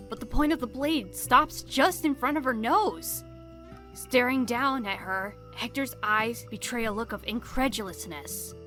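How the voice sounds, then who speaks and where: A woman's voice: on the high side, bright, forceful tone. A woman narrating a spooky fantasy audio drama as a dramatic, scripted storyteller. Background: music behind the voice.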